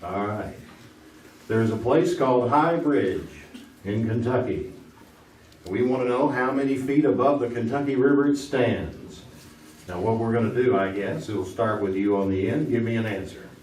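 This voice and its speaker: A man's voice: mid-range voice, normal speed, darkish tone, slight background noise, natural voice. Guy as folksy fifties gameshow host, kinda lost n cracking jokes, distant echoey recording. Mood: wry, muddling through. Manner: folksy, joking, feigned bewilderment. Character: wisecracking, baffled male 1950s game show host. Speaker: game show host. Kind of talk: old-time radio comedy hosting.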